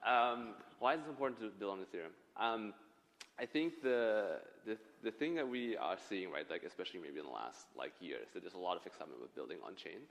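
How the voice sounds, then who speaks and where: A man's voice: sits low, animated, a little fast, vocal fry, a bit bright. A man answering on a conference panel, rambling and hedging with lots of fillers. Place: conference stage in a large hall. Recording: long reverb of a large hall.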